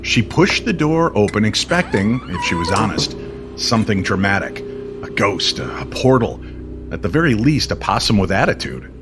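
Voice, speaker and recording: A man telling a mysterious story in old-time radio style, expressive and measured, with weighty pauses. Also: fairly low pitch. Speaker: co-narrator of an audio story series. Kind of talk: dramatic scripted story narration in old-time radio manner. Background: music under the voice.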